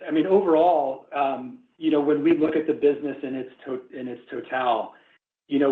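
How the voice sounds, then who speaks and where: Man on an echoey phone-line conference call. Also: mid-range voice. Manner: measured, careful corporate phrasing. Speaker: company finance executive. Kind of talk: earnings call answers to analysts.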